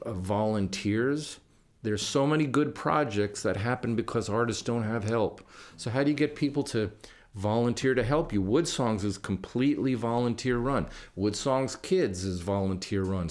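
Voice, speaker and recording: American male podcast host, medium pitch, conversational and earnest, storytelling delivery with rhetorical questions. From Kentucky, United States.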